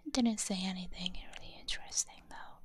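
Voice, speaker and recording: A woman with a Hungarian accent, whispering softly and gently rambling through a binaural ASMR stream chat. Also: medium pitch, brisk. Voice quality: soft breathy whisper. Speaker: VTuber streamer. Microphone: binaural mic.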